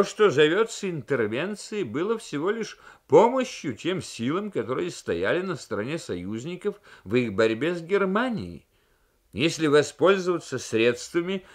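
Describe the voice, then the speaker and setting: A man voicing a forceful British prime minister in an emphatic, oratorical radio reading of a novel. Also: fairly high pitch, pitched above the performer's own voice.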